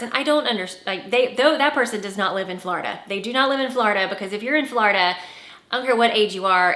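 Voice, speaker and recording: A woman's voice: mid-range voice, sharp tone. A woman in her forties giving a candid, chatty style talk to camera, with frequent "like" fillers. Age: 40s. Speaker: style vlogger. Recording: roomy echo.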